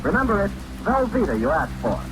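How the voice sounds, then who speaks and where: A man's voice: sits high, sing-song, brisk, very noisy. A man with an American accent announcing and bantering in scripted 1940s radio style on a vintage recording.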